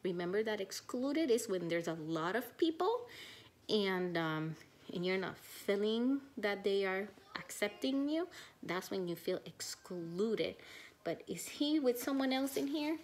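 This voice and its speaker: A woman teacher reading a children's storybook aloud, expressive and questioning, pausing to think out loud. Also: medium pitch.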